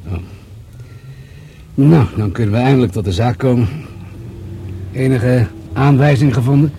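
Dry, mellow police commissioner, played by a man. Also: mid-range voice, a little hiss or hum, lightly acted. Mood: weary, businesslike. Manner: deliberate, dry, pondering with hums. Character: senior male police commissioner, wry, fatherly and methodical. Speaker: actor in a radio play. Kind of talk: Dutch radio drama dialogue.

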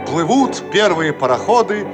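A man's voice: high-pitched, big pitch swings, normal speed. A man narrating a radio play in a declamatory, solemn announcer manner. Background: loud music.

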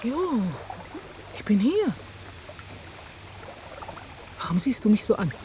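A woman's voice: on the high side, very animated, slow pace, dark tone, a little hiss or hum. An actress playing an intense, patronising woman in a German radio drama, explaining science in a coaxing, didactic way. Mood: concerned.

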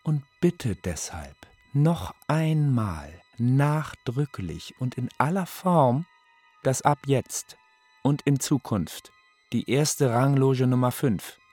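A man's voice: medium pitch, expressive, a little mellow, no room sound. An actor playing a courteous yet menacing male opera phantom, ceremonious and demanding, in for a radio play. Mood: imperious, formal. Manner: ceremonious, deliberate. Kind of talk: German radio play, letter monologue. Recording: studio voice over music. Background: music.

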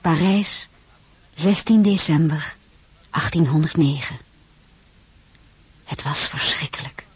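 Wistful wife narrating her life in a radio play, really husky breathy voice, on the low side. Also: a little mellow, dry recording. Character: Désirée, reflective marshal's wife telling her story. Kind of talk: first-person dramatised narration.

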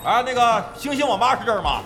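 A man's voice: very high-pitched, sing-song, fast talker, pushed and bright, soft background music, heavily acted. A man playing a brash, nosy, somewhat sleazy gamer in an audio drama, effusive and slangy in his talk. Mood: eager, flirtatious.

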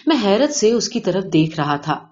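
A woman's voice: mid-range voice, big pitch swings, a little mellow. A woman reading a literary short story aloud as scripted narration. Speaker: audiobook reader of a short story. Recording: dull, lo-fi sound.

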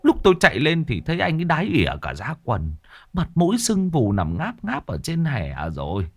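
A man voicing an older male servant who talks in hushed, wary tones. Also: fairly high pitch, huge pitch swings, normal speed, no room sound, studio quality, pitched above the performer's own voice, heavily acted. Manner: sneering, then hushed and whispering. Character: older male servant, scornful then fearful.

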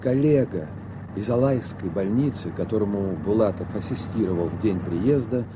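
A man reading scripted radio drama narration in a measured, literary storytelling manner. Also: fairly low pitch, noisy background.

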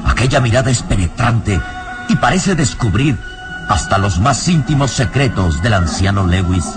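A man's voice: lowish voice, expressive, normal speed, loud music behind the voice. A man narrating a radio drama in a suspenseful, scripted style.

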